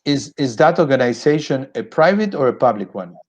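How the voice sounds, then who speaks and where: Man hosting, with halting, wordy phrasing. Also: mid-range voice, animated, a little mellow, lo-fi sound. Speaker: interview host. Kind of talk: conversational interview hosting.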